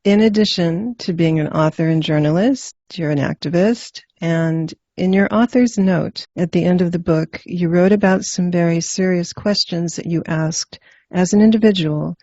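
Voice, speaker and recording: A woman's voice: fairly low pitch, moderate pace, dark tone, dry recording, highs cut off. A woman hosting an interview, reading a formal introduction and asking questions in a polished way. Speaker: interviewer host.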